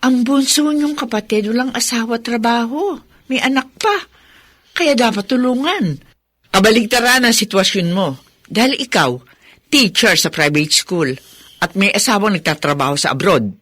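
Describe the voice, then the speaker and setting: Older grandma in a radio soap, exasperated but caring, very expressive, close dry sound. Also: female voice, on the high side, moderate pace, no room sound. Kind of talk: Filipino radio drama acting.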